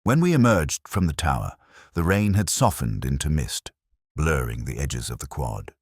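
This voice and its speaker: A man performs an ageing police inspector narrating his own detective story. The voice is extremely low, with plenty of rise and fall, recorded close and dry. He is pensive and observant, measured and literary in his telling. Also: big pitch swings, slightly rough, no echo, full character voice. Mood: pensive, brooding. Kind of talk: scripted first-person detective story narration.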